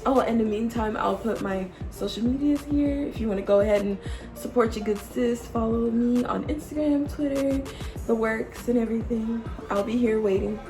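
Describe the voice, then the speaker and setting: A woman's voice: on the high side, moderate pace, music in the background. A woman in her late twenties chatting casually to camera as a vlogger, playful and teasing, laughing often and calling her viewers y'all. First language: English. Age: 20s. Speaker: YouTube vlogger.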